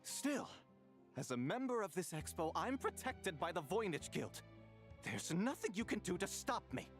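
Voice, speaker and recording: A man's voice: high voice, big pitch swings, moderate pace, faint music behind. An actor playing a defiant, smug seller of counterfeit wine in a video game, blustering as he talks. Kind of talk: English video game voice acting.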